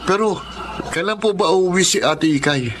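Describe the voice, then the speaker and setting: Radio drama kid brother: very high male pitch, excitable, eager, cheeky. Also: moderate pace, slight background noise. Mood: excited. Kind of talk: Tagalog radio drama acting.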